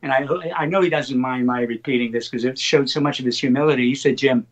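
An older man whose first language is Slovak, telling rambling stories as a poet being interviewed and getting animated in the anecdotes. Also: mid-range voice, fast talker, muffled recording. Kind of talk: conversational storytelling interview.

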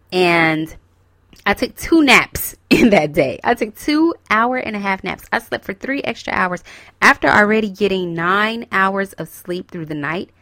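A woman's voice: lowish voice, a bit bright, dry recording. A young woman getting over a cold, talking casually and encouragingly straight to her listeners as a host. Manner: encouraging, chatty, addresses listeners directly. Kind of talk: casual self-help talk.

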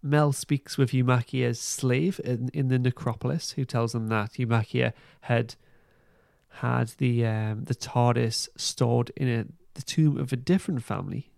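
A male podcast host with a mid-pitched, gravelly voice. He recaps a story casually and haltingly, feeling his way through sentences, and is recorded close and dry. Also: steady tone, a little mellow, dry recording. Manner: hesitant, halting, informal. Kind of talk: casual plot recap and review.

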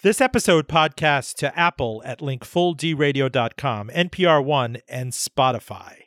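A man hosting a radio interview show, reading station plugs and asking lively, probing questions. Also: medium pitch, moderate pace, dry recording, studio quality. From Iranian heritage.

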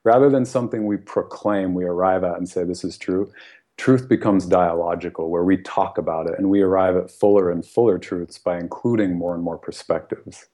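A man from the United States speaks in a very low, warm and mellow voice. He talks earnestly and thoughtfully, with frequent soft laughs and plenty of "you know". It sounds like a relaxed podcast conversation. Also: fairly quick. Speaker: guest author.

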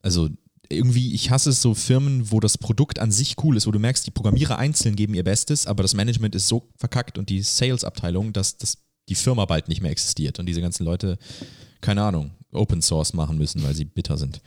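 Casual male podcast host, low-pitched, rambling and colloquial, recorded dry. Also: fast, darkish tone, no room sound. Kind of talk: casual conversational podcast chat.

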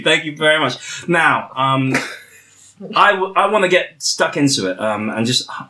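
A man's voice: fairly high pitch, a bit bright. A man hosting a comedic panel chat, joking and laughing often. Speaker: host.

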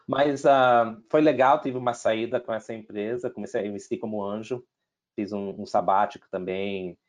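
A man's voice: on the low side, fairly even intonation, moderate pace, lo-fi sound. A middle-aged man with an American accent speaking Portuguese, telling his career story with many restarts and fillers and slipping in English words. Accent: American English, some Brazilian and European Portuguese. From United States. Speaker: investor guest. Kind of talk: casual interview talk.

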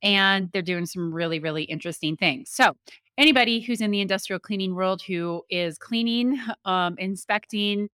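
A woman's voice: medium pitch, moderate pace, bright, forceful tone, smooth, no room sound, studio quality. A woman hosting a podcast, introducing her guest and asking questions in an upbeat, enthusiastic way. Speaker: podcast host.

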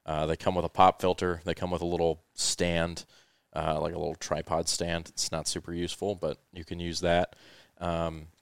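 A man with a low, silky voice talks casually through his podcast gear, loose and relaxed, with lots of ums and uhs. His tone is rich and resonant. The sound is dry and close with no room echo. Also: normal speed. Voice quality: smooth voice. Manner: relaxed, rambling, many fillers. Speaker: podcast host. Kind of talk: casual explanatory gear talk.

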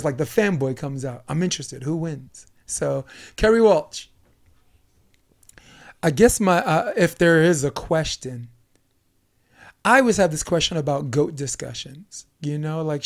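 Give me a joking young man hosting a podcast, gravelly and slightly high. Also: expressive. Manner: jokey, rambling, with quick asides and laughs. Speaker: podcast host. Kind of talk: casual podcast chat.

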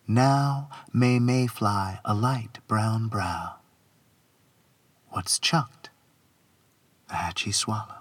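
A man's voice: fairly low pitch, fairly bright tone, husky. A man reciting his own poetry dramatically, in an incantatory, rhythmic delivery with repeated phrases. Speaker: poet reading own work.

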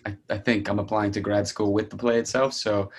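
A man's voice: on the low side, flat delivery, a little fast. A young man, a playwright, talking casually and appreciatively about his work. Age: young adult. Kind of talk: casual discussion.

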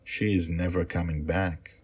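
A towering half-eagle general, once a villain, speaks softly and warmly, as a man reading the story puts him on. His voice sits very low and husky, soothing and unhurried in this storybook telling. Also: level tone. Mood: consoling. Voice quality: deep. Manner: soft, warm, soothing.

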